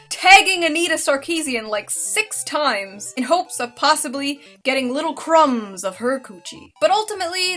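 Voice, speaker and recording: Female commentary host, high and bright, mocking, casual, animated delivery. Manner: mocking, expressive, swears freely. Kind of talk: casual, sarcastic reaction commentary.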